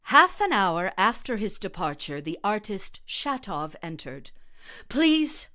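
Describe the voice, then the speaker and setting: A woman actor narrating a literary short story in a measured, dramatic reading style. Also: mid-range voice, big pitch swings, bright, forceful tone.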